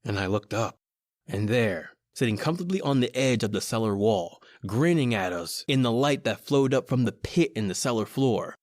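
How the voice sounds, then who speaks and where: A man's voice: mid-range voice, lively intonation, moderate pace, darkish tone, no room sound, clean studio sound, close to an everyday voice. A man playing a frightened American criminal, urgent and dramatic, in an old-time radio horror play. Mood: tense, haunted. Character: frightened male criminal narrating his doom.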